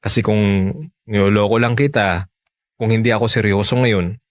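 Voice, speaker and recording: A Filipino man acting in a radio romance drama as a smooth-talking, unfaithful married man, earnest and persuasive. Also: on the low side, steady tone, moderate pace, no echo, natural voice. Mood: earnest pleading. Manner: coaxing, earnest.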